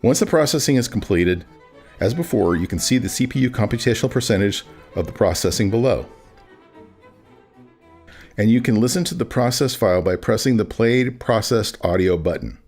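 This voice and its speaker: Man, low voice, scripted software tutorial narration, music behind. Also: lively intonation, normal speed. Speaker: narrator, product demonstrator. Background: background music.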